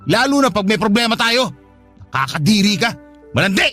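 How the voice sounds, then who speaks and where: A man's voice: sits high, very animated, fairly quick, sharp tone, faint music behind, heavily acted. A man playing a jealous, scornful live-in partner in a heated radio drama, sarcastic and accusing. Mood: furious, disgusted.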